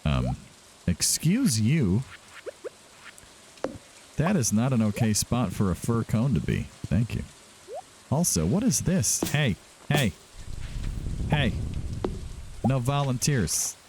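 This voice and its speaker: A man's voice: medium pitch, big pitch swings, takes it slowly, pauses often, darkish tone, slight background noise. A man doing casual let's-play commentary as a gaming host, chatting to himself and to the game's characters. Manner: talks to himself and to game characters.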